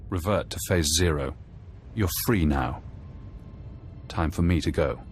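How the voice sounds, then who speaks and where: An actor playing a cold, urgent mentor in a video game, giving clipped commands. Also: male voice, a bass voice, a little slow, soft background music. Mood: clipped, intent. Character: cold, urgent male mentor reprogramming an agent's mind.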